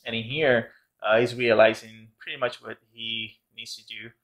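A man's voice: medium pitch, fairly even intonation, normal speed, fairly bright tone, rough recording. A man casually narrating his art process as host of a tutorial channel, rambling with many fillers and restarts. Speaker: background artist and channel host.

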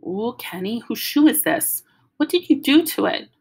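A woman voicing a bossy, panicky little girl who whines and scolds in a high voice. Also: big pitch swings, normal speed, gravelly, a little mellow, breathy edge, full character voice. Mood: whiny scolding. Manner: shrill, whiny and scolding.

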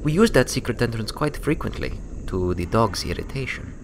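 A man voicing a courteous, composed female pony rebel in a storybook, speaking in a measured, formal way. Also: medium pitch, big pitch swings, background noise. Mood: calm, reassuring. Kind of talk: storybook character voice.

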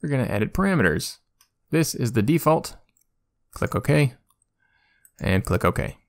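Casual male YouTuber for screen-recorded tutorial narration; mid-pitched, informal, self-deprecating. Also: sing-song, a little slow.

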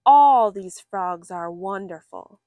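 A woman reading a children's nature picture book aloud with expressive, drawn-out emphasis for young listeners. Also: medium pitch, no echo, narrow, tinny audio. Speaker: story reader for children.

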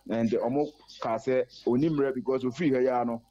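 A man's voice: medium pitch, fairly even intonation, dark tone. Man reporting by phone. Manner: fast, fluent report mixing Twi and English. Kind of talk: live phone-in news report. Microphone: phone line. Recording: muffled phone line.

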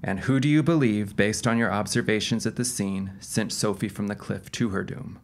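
An actor playing a patient, skeptical police detective in an audio drama, with an official, measured manner. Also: male voice, lowish voice, noisy background, natural voice. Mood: measured, probing.

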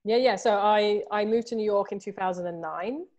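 A woman's voice: medium pitch, normal speed, dull audio. A woman, talking animatedly as an artist guest over a video call from home, with plenty of "you know"s. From Southeast London, England. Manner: animated, gestures a lot, many fillers like "you know". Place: home room with books and artwork behind. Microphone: video call, earphones.

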